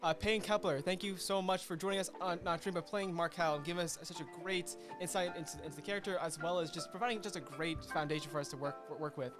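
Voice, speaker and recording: A man hosting a casual livestream, giving effusive, halting thanks with repeated phrases. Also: high voice, music in the background. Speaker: co-creator and host of a release stream.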